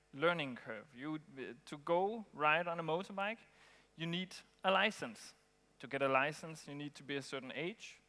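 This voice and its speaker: A man from Denmark, giving a relaxed conference talk with frequent ums and pauses. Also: a little high. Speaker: conference speaker. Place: conference hall. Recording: long hall reverb.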